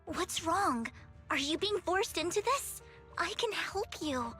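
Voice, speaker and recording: Bright-voiced, earnest young game heroine. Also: female voice, very high-pitched, sing-song, slow pace, faint music behind, exaggerated voice. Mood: alarmed, urgent. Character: earnest young woman, troubled past, formal and devoted. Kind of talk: video game voice acting.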